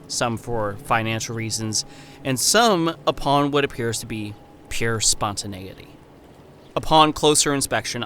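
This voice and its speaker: Man acting a bright-voiced, wary scholar reporting by letter. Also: medium pitch, slight background noise. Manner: formal, precise, measured diction. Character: wary young male anthropologist reporting by letter, 1930s. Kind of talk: scripted horror audio drama, letter narration.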